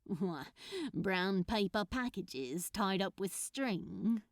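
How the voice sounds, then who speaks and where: A woman's voice: mid-range voice, animated. A woman voicing an awkward, smitten man with dry humour and a hesitant delivery in a fan fiction audiobook. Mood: fond, awkward. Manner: hesitant, halting.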